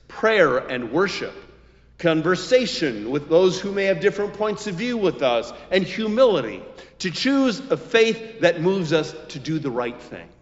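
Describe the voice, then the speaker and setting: Slow-paced male preacher in a reverberant hall. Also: a little high, highs cut off. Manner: deliberate, emphatic phrasing with pauses. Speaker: pastor. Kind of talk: sermon.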